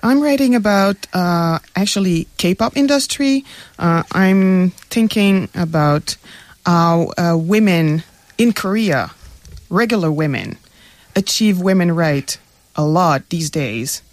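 A woman's voice: fairly low pitch, lively intonation, slow, no echo. A young woman from Quebec, a graduate student guest, answering earnestly on a radio talk show while searching for words. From Quebec, Canada.

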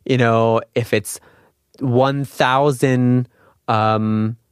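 A man's voice: medium pitch, fairly even intonation, very slow, clean tone, no room sound. Dry-sounding man giving an informal lesson. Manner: conversational, explanatory, poses rhetorical questions to the listener. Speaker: language teacher and host. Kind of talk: informal spoken lesson with examples and quiz questions.

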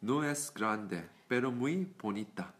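Female student, very deep voice with a lively pitch, careful repetition. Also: takes it slowly. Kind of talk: repeating drill phrases.

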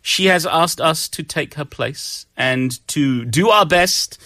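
A man hosting a morning radio show, bantering playfully and reading affirmations slowly and soothingly. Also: fairly high pitch, pushed and bright, no echo, clean studio sound.

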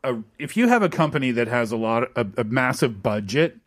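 A man hosting a radio show with a very animated, swooping voice at a middle pitch. He jokes around casually and reads out listener messages in relaxed studio banter. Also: fairly quick.

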